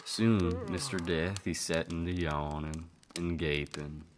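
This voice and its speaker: Man as frontier narrator, extremely low bass voice, American country accent, folksy, colloquial, radio storytelling, slight noise. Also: level tone, slow. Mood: easygoing. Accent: American Southern or Western. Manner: homespun, colloquial. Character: folksy Old West yarn-spinning storyteller. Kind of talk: dramatic scripted narration of a western folktale.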